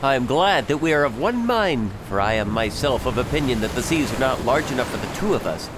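Guy doing a formal bold pirate captain, radio play, really animated pitch, noisy background. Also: fairly quick, lightly acted. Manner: well-spoken, declamatory. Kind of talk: audio drama acting.

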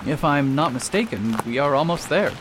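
A man's voice: fairly high pitch, animated, normal speed, background noise, lightly acted. An actor playing a confident male doctor-detective in a radio drama, pompous and precise in manner. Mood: pleased, knowing.

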